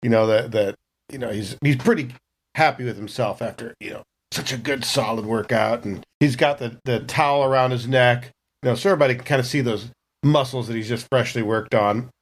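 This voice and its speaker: A man's voice: medium pitch, fairly quick. Casual man narrating a tabletop game. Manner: casual, descriptive, cinematic scene-setting. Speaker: roleplaying game player and narrator.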